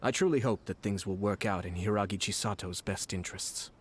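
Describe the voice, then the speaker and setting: Low, suave scheming nobleman voiced by a man. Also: slight background noise, lightly acted. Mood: polite, assured. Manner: measured, suave, formal. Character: composed, scheming young nobleman, politely calculating. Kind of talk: video game voice acting.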